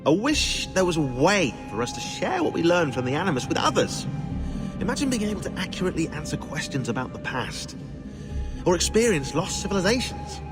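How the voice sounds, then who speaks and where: An actor playing a cynical, opinionated British historian in a video game, speaking in an articulate, sardonic and indignant way. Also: male voice, a little high, very animated, a little fast, over music. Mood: earnest. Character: cynical, opinionated male historian, argumentative and bitingly dry. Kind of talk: acted video game dialogue.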